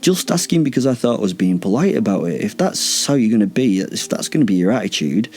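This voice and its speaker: A man's voice: lowish voice, very animated, quick pace, darkish tone. A man voicing a cocky, flippant male werewolf in a story, sarcastic and full of exaggerated mock awe. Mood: mock offence. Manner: sarcastic, mock-awed, sweary.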